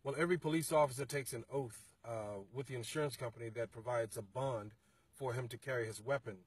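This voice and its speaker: A middle-aged man, an interview guest, explaining his organization's process in a measured way from the passenger seat of a car, recorded on a phone. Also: mid-range voice, breathy edge. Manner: measured, explanatory, some halting restarts. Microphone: phone camera held by the interviewer.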